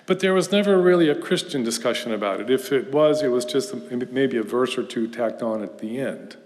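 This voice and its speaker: A middle-aged man from Houston giving a conference talk as guest speaker, telling stories in a relaxed, self-deprecating way with fillers. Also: medium pitch, fairly quick, a little hiss or hum. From Houston, Texas, USA. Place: lecture hall. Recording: lecture hall with long reverb.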